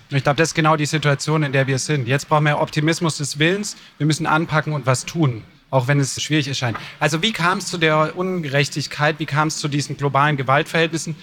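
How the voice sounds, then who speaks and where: A man, a political theorist, speaking as a guest in a live panel discussion in an animated, rallying way. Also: fairly high pitch, slight background noise.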